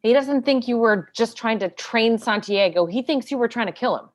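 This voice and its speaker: A woman's voice: fairly high pitch, normal speed, natural voice. A woman acting an exasperated, combative military defense lawyer in a stage play read-through, pressing hard with sarcastic questioning. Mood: angry, insistent.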